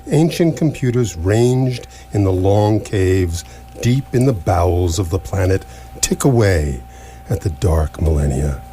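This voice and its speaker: An actor in live radio theatre playing an ancient, absent-minded alien planet-builder, stately and portentous yet mildly apologetic. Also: male voice, low voice, lively intonation, a little slow, warm tone. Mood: grave, mild. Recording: noisy live stage recording with hum.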